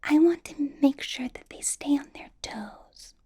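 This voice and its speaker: A woman playing a possessive, sweetly menacing female vampire boss in a soft, whispered ASMR roleplay. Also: sits high, voice pushed up. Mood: coolly menacing. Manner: slow, coaxing, condescending endearments.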